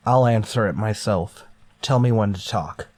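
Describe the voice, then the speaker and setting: An actor playing a grim, authoritative starship captain in a golden age sci-fi radio drama, speaking in a stern, deliberate way. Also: male voice, on the low side, no room sound, close to an everyday voice. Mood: commanding.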